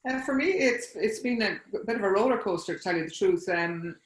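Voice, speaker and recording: A woman's voice: medium pitch, lively intonation, fairly quick, reverberant. A woman with an Irish accent, a native Irish speaker, reflecting hesitantly as an artist on a discussion panel. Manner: reflective, hesitant, many fillers.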